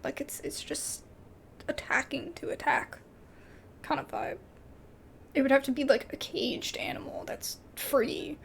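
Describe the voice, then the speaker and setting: American female gaming host: high, fry-tinged voice, chatty and giggly, off-the-cuff delivery, noisy background. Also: measured pace, long pauses, in a whisper. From United States. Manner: chatty, giggly, thinks out loud. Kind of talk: casual let's play chat and reading game text aloud.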